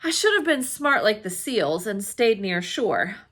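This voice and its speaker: A woman reading a children's storybook aloud in an expressive, dramatic way, with emphatic exclamations and hushed suspense. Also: medium pitch, a little slow. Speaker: storybook reader.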